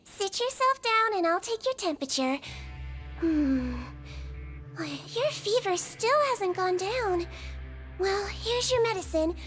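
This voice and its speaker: Casting: a soft-spoken creature doctor, very high childlike voice, calmly sly, game audio. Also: female voice, slow, exaggerated voice. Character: gentle childlike female Melusine doctor. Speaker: prison doctor. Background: faint game music.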